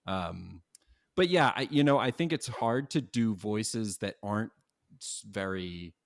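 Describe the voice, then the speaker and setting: Male voice actor in casual chat, lowish voice, close dry sound, modest and halting. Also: lively intonation, creaky, dry recording. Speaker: voice actor being interviewed.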